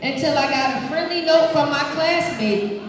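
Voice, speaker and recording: A woman, an energetic children's author reading aloud live to a school audience, projecting and engaging listeners with questions. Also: high voice, normal speed. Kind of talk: live read-aloud, call-and-response with children. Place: large echoey room at an elementary school. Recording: very echoey hall, distant mic.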